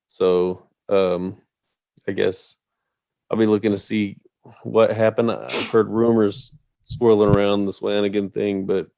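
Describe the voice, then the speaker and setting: Casting note: male sports podcast co-host, gravelly low voice, mellow and drowsy, dry recording. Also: no echo. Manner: drowsy, unhurried. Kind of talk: casual sports chat.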